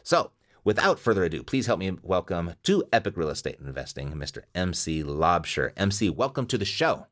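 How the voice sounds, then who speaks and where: A man with a fairly low voice hosts a podcast in a punchy, motivational way. He leans on repetition to hammer his points home, and he welcomes a guest with upbeat enthusiasm. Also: big pitch swings, a little fast. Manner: motivational, repeats phrases for emphasis. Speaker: podcast host. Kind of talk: energetic podcast monologue and interview.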